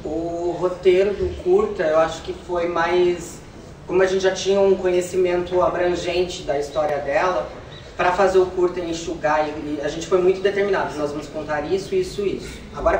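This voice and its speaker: A Brazilian man explains his theatre company's work in an interview. His voice sits a little away from the mic, with strong room echo around it. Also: high-pitched. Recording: roomy, distant mic, noisy background.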